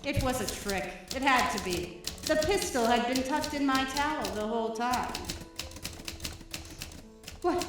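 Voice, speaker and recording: A conniving femme fatale played by a woman with a fairly high voice, sardonic and melodramatic, in a 1940s noir radio spoof. She is heard in a very echoey live stage space, with music behind her. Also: measured pace, long pauses, far from the mic. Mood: alarmed. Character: scheming, scornful female femme fatale, brilliant but conniving murderous widow. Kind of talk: parody 1940s noir radio acting, alternating narration and dialogue.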